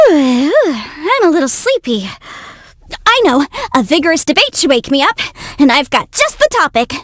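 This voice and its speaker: A woman's voice: high voice, huge pitch swings, a little slow, pauses often, dry recording, clean studio sound, full character voice. A woman voicing a cute, confident young female legal adviser in a video game, youthful and articulate with a theatrical, self-assured delivery. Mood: brisk. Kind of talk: video game character voice lines.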